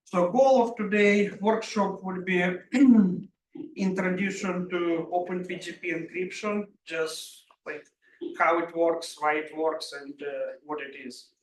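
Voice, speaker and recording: A middle-aged man from Ukraine with a Russian accent gives an informal technical workshop talk, haltingly and often clearing his throat. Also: high voice, fairly even intonation. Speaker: workshop presenter, security engineer. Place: indoor room at a live workshop. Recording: roomy echo, distant mic, faint noise.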